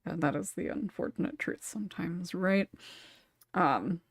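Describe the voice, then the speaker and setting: Casual woman podcast host with creaky voice. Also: on the low side, lively intonation, measured pace, dry recording, studio quality. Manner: chuckles often while talking. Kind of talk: casual panel discussion hosting.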